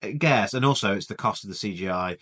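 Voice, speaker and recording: Male co-host, 30s, lower register, loose rambling delivery. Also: quick pace, husky. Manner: relaxed, rambling, trails off with fillers. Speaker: podcast co-host. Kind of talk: casual chat.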